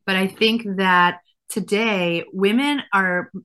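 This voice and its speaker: Bright-voiced woman designer. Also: mid-range voice, slow, clear, resonant tone. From Israel. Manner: thoughtful, many fillers and restarts. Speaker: fashion designer guest. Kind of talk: casual interview answers.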